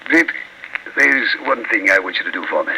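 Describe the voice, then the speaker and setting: An actor playing a delighted, chatty older uncle in a 1940s radio drama. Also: male voice, deep voice, lively intonation, normal speed, creaky. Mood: pleased. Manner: effusive, chatty. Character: hearty, delighted older uncle welcoming his nephew. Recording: noisy vintage radio recording.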